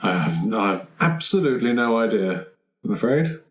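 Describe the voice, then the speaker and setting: A man's voice: on the low side, very animated, normal speed. A man playing a tabletop roleplaying game with wry, playful, casual improvised banter. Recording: roomy, echoey tabletop recording.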